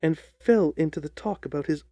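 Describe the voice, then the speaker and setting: Mellow, gravelly male story narrator. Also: a little high, moderate pace, no echo. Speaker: audiobook narrator.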